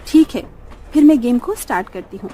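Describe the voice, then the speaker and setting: A woman's voice: fairly high pitch, lively intonation, brisk, mellow, a little hiss or hum, voice pushed down, close to an everyday voice. A woman playing an easygoing, dismissive young mother in a cartoon story, with a casual manner.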